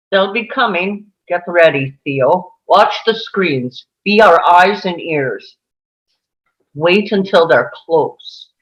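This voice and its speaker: A cold, unhurried terrorist chief giving orders over a radio, read aloud by a woman at a table read. He speaks slowly and stays completely calm, every word deliberate. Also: fairly low pitch, dull audio. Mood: calm commanding.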